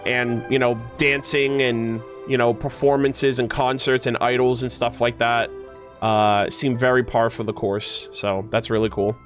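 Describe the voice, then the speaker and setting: A man's voice: medium pitch, little pitch movement, measured pace, faint music behind. A man hosting a livestream, chatting casually about game lore and reading in-game text aloud in a gamer's slangy way. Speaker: livestream host.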